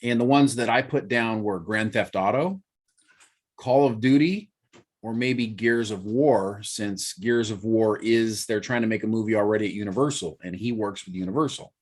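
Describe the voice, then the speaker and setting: A man's voice: fairly low pitch, moderate pace. A man hosting a casual podcast, explaining things chattily and hedging with fillers. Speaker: podcast host. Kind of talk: casual chat.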